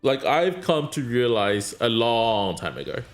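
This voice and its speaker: Chatty male game streamer, animated voice. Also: mid-range voice, unhurried, faint music behind. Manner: rambling, answers chat, trails off. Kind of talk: casual live-stream chat while gaming.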